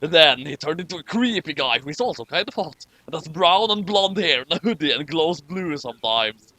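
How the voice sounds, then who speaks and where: A man with a high, bright voice reads a story aloud and comments as he goes. He is animated and mock-dramatic, with an exasperated amusement, and sounds close and dry. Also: a little fast, no room sound. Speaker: reader and commentator of a fan fiction.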